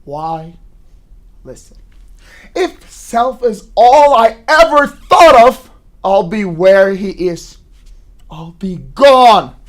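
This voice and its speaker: A man acting a trapped, bitter son in a theatrical monologue, swinging between hushed and shouted delivery. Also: sits high, animated, unhurried, pauses often. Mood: bitter, defiant. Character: trapped, bitter young son arguing with his mother.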